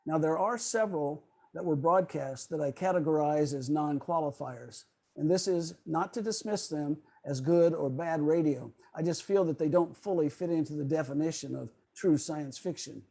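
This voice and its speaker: A man gives a prepared lecture at an online club meeting. His voice is mellow and slightly high, and his delivery is formal and measured. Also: creaky. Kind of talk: prepared lecture on radio history. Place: online video call.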